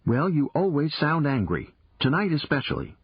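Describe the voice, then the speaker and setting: A man's voice: on the high side, big pitch swings, moderate pace, husky, dry recording, lo-fi sound, pitched above the performer's own voice. A man voicing a stubborn young teenage girl who presses with insistent questions. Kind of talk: audiobook character voice.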